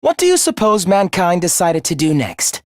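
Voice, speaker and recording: A zealous young male game villain, with a high voice recorded close and dry. He speaks with theatrical contempt and open scorn, like someone convinced of his own righteousness. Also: big pitch swings, moderate pace, dry recording. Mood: subdued, bitter. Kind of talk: video game character voice acting.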